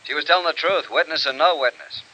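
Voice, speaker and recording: An actor playing a brisk, gruff police sergeant in a 1950s radio drama. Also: male voice, fairly high pitch, sharp tone. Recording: dull vintage radio recording.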